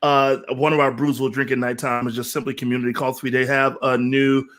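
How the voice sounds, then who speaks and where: A man's voice: medium pitch, level tone, a little fast, a bit bright. A man, a pastor, chatting casually and easily with friends. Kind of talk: casual chat.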